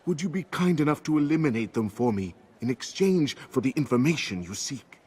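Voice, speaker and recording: Husky, courteous informant. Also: male voice, mid-range voice, expressive, a little fast, darkish tone. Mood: troubled. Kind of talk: video game cutscene acting.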